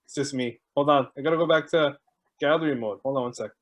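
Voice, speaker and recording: A man's voice: mid-range voice, fast talker. A man hosting an online video call, chatting casually and greeting people one by one while he jokes about stalling. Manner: chatty, joking, warm greetings to each arrival. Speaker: host.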